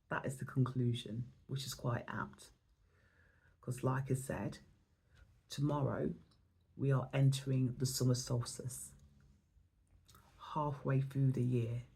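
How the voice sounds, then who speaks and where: A woman from England, hoarse and losing her voice, talking in a reflective, rambling way as she gives an oracle card reading in a spiritual vlog. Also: very deep voice, warm tone.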